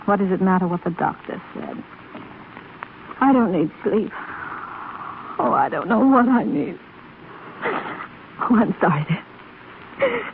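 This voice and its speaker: An actress playing a gentle blind woman, brave yet yearning and despairing, in a 1930s radio drama. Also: medium pitch, lively intonation, slow pace, long pauses. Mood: weary, despairing. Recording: scratchy, phone-like 1930s radio broadcast recording.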